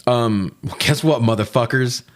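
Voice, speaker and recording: A man co-hosting a podcast, chatting casually through a movie recap in a jokey, swear-heavy way that gets animated when he is riled. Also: mid-range voice, unhurried. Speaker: podcast co-host.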